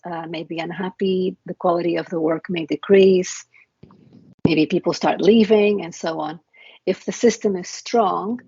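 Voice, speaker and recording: Woman from Portugal, conference talk, husky tone, slightly muffled recording. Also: lowish voice, a little mellow, no echo. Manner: measured, explanatory, with frequent uh fillers. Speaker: conference speaker.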